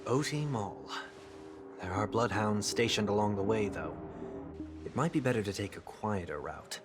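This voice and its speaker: A man voicing a solemn, refined fallen gentleman in a video game, wistful and courteous, with formal, measured phrasing. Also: on the low side, expressive, natural voice. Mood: guarded, polite. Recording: game music behind the voice. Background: game soundtrack music.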